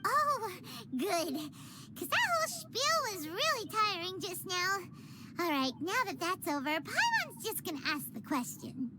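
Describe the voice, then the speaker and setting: A woman's voice: extremely high pitch, big pitch swings, a little slow, heavily acted. A woman voicing a small, chatty female companion creature who is bubbly and blunt and talks about herself in the third person. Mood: perky chatter. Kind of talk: game voice acting. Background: game music.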